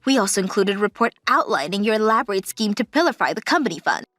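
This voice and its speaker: A woman's voice: medium pitch, big pitch swings, quick pace, fairly bright tone, dry recording, studio quality, faint music behind. A woman playing a composed, sincere company auditor in a manga dub. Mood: firm, accusing.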